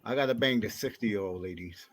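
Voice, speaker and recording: A man's voice: lowish voice, brisk. A man in his forties co-hosting a podcast, cracking crude jokes and swearing. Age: 40s. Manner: crude joking, swearing and laughing. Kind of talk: casual chat.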